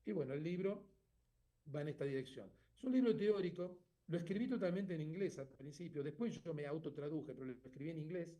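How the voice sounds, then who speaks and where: Thoughtful author, mellow voice. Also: male voice, a little high, brisk. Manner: thoughtful, checks in with frequent tag questions. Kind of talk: explaining his own book.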